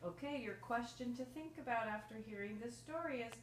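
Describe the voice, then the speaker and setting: Expressive woman teacher in a roomy, distant recording. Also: on the high side, a little fast. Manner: expressive, addressing young children. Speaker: kindergarten teacher. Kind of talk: children's picture book read-aloud. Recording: roomy, distant mic, faint noise.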